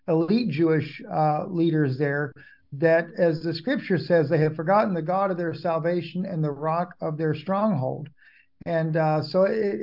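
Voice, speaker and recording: A man's voice: a little high, muffled recording. A man speaking as an interview guest, giving discursive conversational answers and often backtracking to rephrase. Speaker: interview guest, Bible prophecy commentator.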